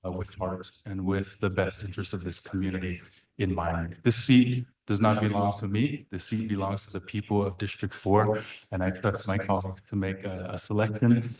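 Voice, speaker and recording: A man whose first language is Samoan speaks in a lowish voice at a council meeting. He is earnest and halting, with many pauses and restarts. The echoey chamber rings around him. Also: little pitch movement, darkish tone. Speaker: city council member. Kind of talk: farewell speech at a council meeting.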